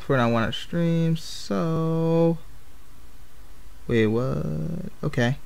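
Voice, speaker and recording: A man's voice: a little high, slow pace, gravelly, a little mellow, clean tone. A man chatting casually as he livestreams a game, rambling and often repeating his phrases. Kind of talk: casual gaming stream chat.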